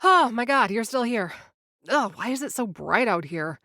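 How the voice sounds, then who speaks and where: A woman's voice: a little high, animated, normal speed, sharp tone, no echo, studio quality. A young woman voicing a sarcastic, nervous influencer who narrates her story straight to the listener in an audio drama.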